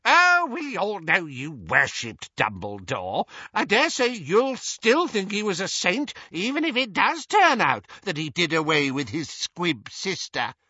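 A man voicing a haughty, gossiping great-aunt witch over a hundred years old in a storybook, shrill, screechy and imperious. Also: huge pitch swings, unhurried, few pauses, sharp tone, slightly rough, no echo, highs cut off, full character voice. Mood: gloating. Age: over 100. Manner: imperious, blunt, tipsy.

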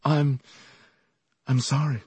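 Male reader as anguished younger man, apologetic, higher-pitched, hesitant, earnest, strained, audiobook drama. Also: little pitch movement, a little mellow, breathy edge, no room sound, highs cut off. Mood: pained, apologetic. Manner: halting, earnest, breaking into strained outbursts.

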